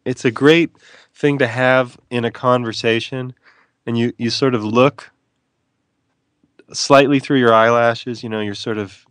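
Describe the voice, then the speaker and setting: A man's voice: mid-range voice, fairly even intonation, measured pace, pauses often, fairly bright tone, no echo. A man who is an author, talking as a guest in a casual radio interview, playful and wry, wandering off on tangents.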